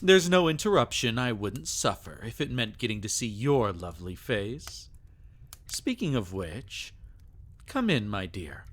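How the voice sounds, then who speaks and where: A sadistic vampire lord, acted by a man in an intimate ASMR roleplay. He speaks slowly and theatrically, with lively rises and falls in pitch. His manner is possessive and taunting, wrapping menace in silky endearments. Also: heavily acted. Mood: coaxing, commanding.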